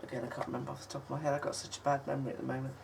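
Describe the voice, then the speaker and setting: Croaky woman with a cold. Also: level tone. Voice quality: husky, low for her. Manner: chatty, halting, many ums, coughs now and then. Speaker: craft channel host. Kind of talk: casual crafting tutorial. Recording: distant mic with faint noise.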